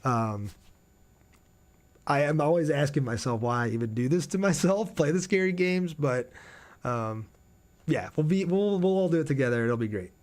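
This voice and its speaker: A man's voice: fairly high pitch, lively intonation, moderate pace, darkish tone. A man chatting casually and informally on a game livestream, reading out viewer comments and joking at his own expense.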